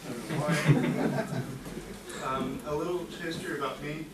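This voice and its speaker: A man from the United States giving a relaxed, chatty talk at a tech meetup, with fillers and small laughs. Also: a little high, unhurried. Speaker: conference speaker. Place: meeting room at a tech office. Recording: echoey room, distant mic, noisy.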